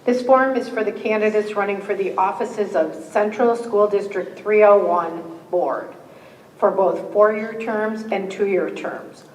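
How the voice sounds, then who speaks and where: Hoarse woman moderating a forum in an echoey hall. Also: medium pitch, a little slow, a little hiss or hum. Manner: friendly, joking asides to the audience. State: slightly hoarse, losing her voice. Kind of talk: reading scripted opening rules with casual asides. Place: meeting room with a live audience. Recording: echoey hall, distant mic.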